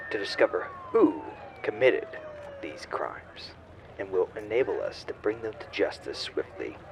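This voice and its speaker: An actor playing a solemn, resolute corporate leader giving a measured, pompous public address in an audio drama. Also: male voice, lowish voice, a little hiss or hum, low-quality recording. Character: solemn, resolute male corporate head of state.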